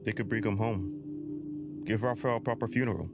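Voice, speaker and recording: A man's voice: fairly low pitch, normal speed, over loud music, close to an everyday voice. A man playing a conflicted, guarded young male agent in an audio drama, earnest and defensive in his delivery. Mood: mournful.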